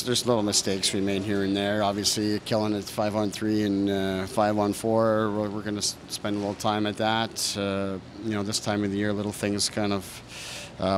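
A low-voiced man in his thirties speaks as a hockey coach at a press conference, his pitch staying flat and even. He answers in a measured, thoughtful way, full of fillers and restarts. Reporters' mics sit close in front of him, with a steady noise floor behind. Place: press room in front of a sponsor backdrop. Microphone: several reporters' handheld mics close in front.

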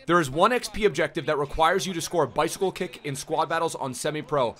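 A young man giving chatty, upbeat commentary on a video game card, his voice a little rough from streaming late, with plenty of fillers, in a small room at a desk mic. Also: fairly high pitch, fast, bright, forceful tone. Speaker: gaming YouTuber. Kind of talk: casual game review commentary. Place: small indoor room, gaming setup.

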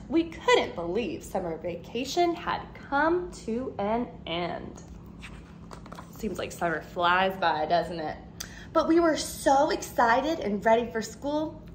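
A woman in her thirties reads a children's picture book aloud like a schoolteacher. Her voice sits fairly high and swings widely in expressive, animated phrases. She is recorded at home with noticeable background noise. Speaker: second grade teacher. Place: living room at home, indoors.

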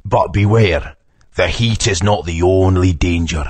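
An actor playing a calm, kindly male guide who gives advice. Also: deep voice, unhurried. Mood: advising.

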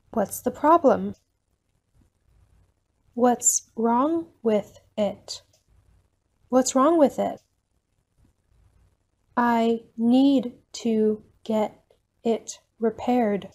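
A woman reading short English phrases for learners, each one slowly and then again at normal speed. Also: fairly high pitch, darkish tone.

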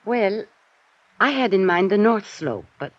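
A woman's voice: mid-range voice, expressive, a little slow. A woman playing a coy, evasive young femme fatale in a 1950s radio drama, composed in manner. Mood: coy, inviting. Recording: dull old radio recording.

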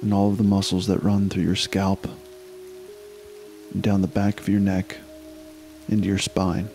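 Low-pitched man guiding a meditation. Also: completely flat delivery, mellow, faint music behind. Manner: slow, unhurried instruction with long pauses. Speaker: meditation guide. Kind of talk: guided meditation.

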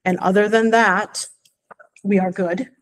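A woman speaking as school superintendent, giving an informal, somewhat halting report at a board meeting in a library room. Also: medium pitch, steady tone.

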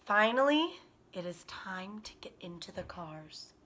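A woman in her thirties, a kindergarten teacher, reading a picture book aloud to children in an animated, expressive way. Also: medium pitch, slight background noise. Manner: animated, expressive, drawn-out emphasis on words. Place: small living room by a fireplace, indoors.

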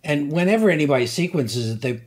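An older man, a scientist guest, explaining slowly and deliberately with many hesitations. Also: a little high, lively intonation. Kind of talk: conversational science interview.

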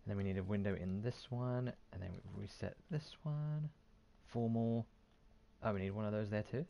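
A man's voice: lowish voice, normal speed, creaky, warm tone, dull audio. A man giving casual gameplay commentary as a streamer, half muttering to himself in a self-deprecating way. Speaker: gaming streamer. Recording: faint game sound behind voice.

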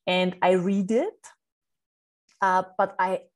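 A woman's voice: mid-range voice, steady tone, slow pace. A middle-aged woman whose first language is German, explaining her book coaching earnestly in a podcast interview, with many fillers and restarts.

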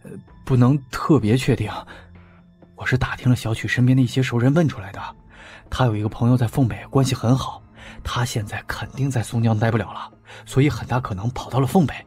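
A man acts out an obedient henchman in an audiobook. He reports dutifully and a little hesitantly in a very husky, rough mid-pitched voice, with faint music beneath. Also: steady tone, a little fast, a little mellow, natural voice. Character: anxious, obedient male gangster's sidekick. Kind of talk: audiobook character acting.

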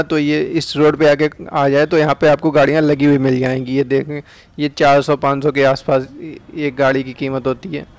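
A man's voice: fairly high pitch, smooth, no echo. A young man from India with an Uttar Pradesh Hindi accent, casually narrating a ride through town streets outdoors as a chatty vlogger. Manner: chatty, tag questions like "है ना".

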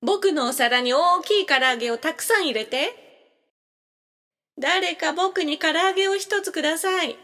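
Lady doing a whiny excitable 10 yr old boy, high voice, audio drama, pleading, some reverb. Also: unhurried. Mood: eager. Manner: pleading, childish.

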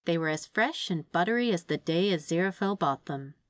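A woman reading fan fiction aloud as an expressive, scripted story narration. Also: fairly low pitch, fairly quick, no room sound, studio quality.

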